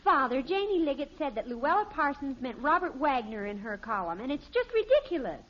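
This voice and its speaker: High-pitched exasperated teenage daughter. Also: female voice, very animated, a little fast, a bit bright. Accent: American. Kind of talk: 1950s radio sitcom acting. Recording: muffled old radio recording.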